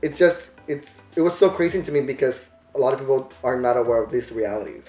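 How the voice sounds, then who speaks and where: A man talking thoughtfully in a casual conversation, pausing often to search for his words. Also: medium pitch, animated, a little mellow. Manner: thoughtful, hesitant, many fillers. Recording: echoey room with faint music.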